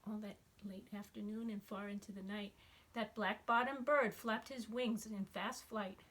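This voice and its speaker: A woman's voice: medium pitch, steady tone, measured pace, a bit bright, a little hiss or hum. A woman narrating a rhyming children's storybook expressively. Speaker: storybook reader, school staff member.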